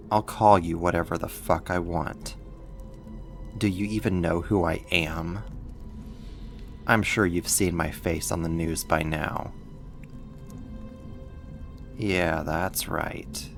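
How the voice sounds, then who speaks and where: A man's voice: low-pitched, creaky, exaggerated voice. A man playing a sadistic, mocking villain and kidnapper in an ASMR roleplay, with a controlling, condescending manner. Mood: taunting, controlling. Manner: slow, taunting, controlling, condescending.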